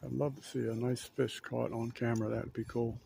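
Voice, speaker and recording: Male outdoor vlogger, mellow and gravelly, unhurried rambling delivery, shot handheld in open air with faint background noise. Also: medium pitch, little pitch movement. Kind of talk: casual narrated walk-around. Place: outdoors on an ocean fishing pier. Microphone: handheld camera, held by speaker.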